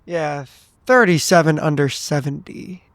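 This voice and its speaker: A man improvises in character as a tabletop player. His voice is on the higher side for a man, polite and a little tentative, recorded close without room sound. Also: a little slow, a bit bright, no room sound. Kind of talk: conversational in-character improvised tabletop role-play.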